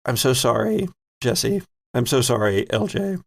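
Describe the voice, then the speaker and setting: A man narrates a tabletop game as its game master, with noticeable vocal fry. His pitch sits in the middle, and he moves between improvised storytelling and playful banter. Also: big pitch swings, unhurried. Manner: playful, conversational.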